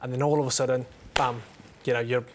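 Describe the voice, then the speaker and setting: Flat-voiced man, halting talk. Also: mid-range voice, a little hiss or hum. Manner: halting, many restarts. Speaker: book panelist. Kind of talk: panel discussion.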